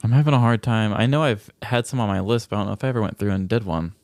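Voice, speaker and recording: A man's voice: fairly low pitch, rapid-fire, a little mellow, dry recording. A man co-hosting a podcast, talking casually in a laid-back, wry way. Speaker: podcast co-host.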